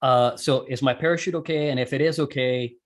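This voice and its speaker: A man's voice: medium pitch, fairly even intonation, fairly quick. A man being interviewed, explaining a technical procedure step by step in a conversational way and correcting himself as he goes. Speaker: interview guest, amateur radio operator and skydiver.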